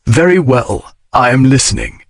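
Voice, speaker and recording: A man voicing a wry, devoted aristocratic gentleman in an audiobook, with understated, formal speech. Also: medium pitch, expressive, moderate pace, no echo, close to an everyday voice. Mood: firm. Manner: dry, understated period speech. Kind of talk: audiobook character reading.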